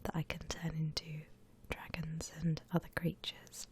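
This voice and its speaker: A woman whispering breathily and gently as she hosts an unhurried ASMR art tutorial. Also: sits low, monotone. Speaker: host of an ASMR drawing channel. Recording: faint background noise.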